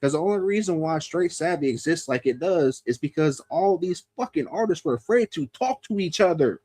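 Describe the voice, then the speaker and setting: A man's voice: fairly high pitch, animated, a little fast, warm tone, breathy edge, no room sound. A man in his thirties hosting a podcast, talking loosely and profanely and laughing often. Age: 30s. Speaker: podcast host. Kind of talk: casual podcast chat.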